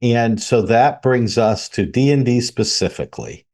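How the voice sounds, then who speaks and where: A man's voice: medium pitch, expressive, measured pace, darkish tone. A man co-hosting a podcast, steering topics and putting questions to his partner. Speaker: podcast co-host. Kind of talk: casual podcast discussion.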